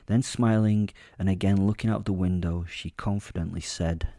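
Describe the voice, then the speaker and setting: Man, brooding horror storyteller, low pitch, soft dark timbre, measured first-person narration. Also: steady tone, no room sound, clean studio sound. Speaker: narrator of horror audio drama, in character as predatory killer.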